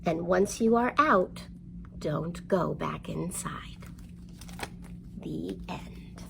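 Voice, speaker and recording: A woman leads storytime for young children at a slow, careful pace. Her voice has a noticeable creak, and she speaks expressively in simple, encouraging language. A light layer of background noise sits in the recording. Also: on the low side. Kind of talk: read-aloud storytelling and instruction for young children.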